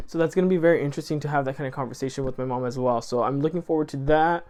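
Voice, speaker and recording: A man's voice: mid-range voice, racing, darkish tone. A man who grew up speaking Spanish, talking to camera in a rambling, earnest, effusive way and correcting himself as he goes. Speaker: channel host. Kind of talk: casual chat to camera.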